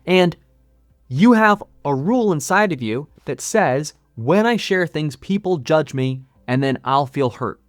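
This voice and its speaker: A man coaching to camera in a motivational explaining style, driving points home with emphatic repetition and deliberate pauses. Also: on the high side, animated, no room sound, soft background music. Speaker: music coach and channel host.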